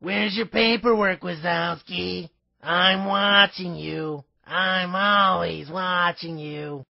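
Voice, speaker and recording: A man voicing a grumpy, suspicious female cartoon monster clerk, gravelly and drawn-out. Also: sits high, steady tone, no room sound, highs cut off, full character voice. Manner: slow drawn-out nagging.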